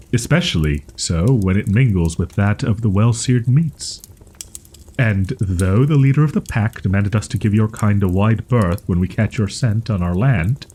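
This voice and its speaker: A man voicing a shy, proud young wolf-boy in an audio roleplay, speaking in archaic formal phrases with halting, flustered stammers. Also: lowish voice, mellow, breathy edge. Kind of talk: audio roleplay, character acting, ASMR.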